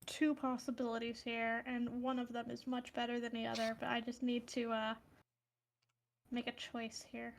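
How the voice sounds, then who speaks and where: A woman's voice: on the high side, completely flat delivery, moderate pace, gravelly, slight background noise. A woman playing a tabletop fantasy game, chatting casually and thinking aloud.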